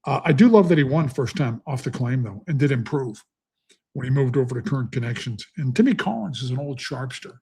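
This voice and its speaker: A middle-aged man with a very husky, rough voice, talking through horse races in a rambling, chatty way. He fills his thoughts with pauses and asides. The sound carries a slight echo from his basement home studio. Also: mid-range voice, animated, darkish tone. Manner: chatty, rambling, thinks aloud with many fillers. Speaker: horse racing handicapper. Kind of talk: casual live-stream talk. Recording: echoey basement room.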